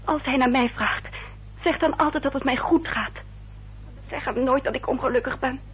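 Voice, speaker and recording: An actress playing a sorrowful, resigned young gentlewoman, speaking formally and earnestly in a radio play. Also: high voice, moderate pace, breathy edge. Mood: sad resignation. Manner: formal, earnest, self-sacrificing. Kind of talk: Dutch radio play acting. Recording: dull, noisy old radio recording.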